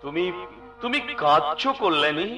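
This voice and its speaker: A man's voice: on the high side, lively intonation, normal speed, pushed and bright, recorded from a distance. A man playing a proud, stern older father-in-law in melodramatic folk theatre, declaiming and repeating words for weight. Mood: concerned. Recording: echoey stage recording, off the mic. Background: faint music.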